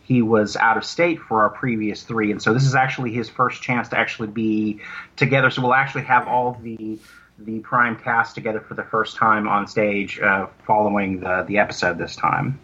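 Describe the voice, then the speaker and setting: A man chatting casually as an interview guest, speaking in a halting, rambling way and repeating words. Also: on the low side, steady tone, pushed and bright, lo-fi sound. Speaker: guest, audio drama producer.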